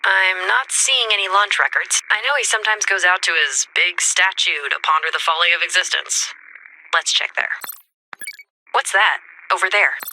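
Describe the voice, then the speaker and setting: A woman playing a serious, determined sci-fi resistance fighter in a video game, brisk and commanding. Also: fairly low pitch, sharp tone, a little hiss or hum, poor audio. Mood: concerned, investigative. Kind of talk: video game character voice acting.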